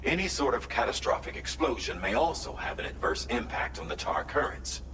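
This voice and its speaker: An actor playing a formal, earnest mission coordinator reading out a long operation briefing in a game. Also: male voice, mid-range voice, sing-song, a little fast, lightly acted. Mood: urgent. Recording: roomy, distant, noisy game audio.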